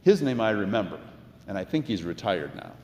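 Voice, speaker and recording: A man's voice: lowish voice, very animated, a little fast, darkish tone, slight background noise. Older american guy preaching, chatty reflective pastor telling stories, big echoey church. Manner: conversational, reflective. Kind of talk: sermon with personal anecdotes. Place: large indoor church. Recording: echoey hall with long reverb.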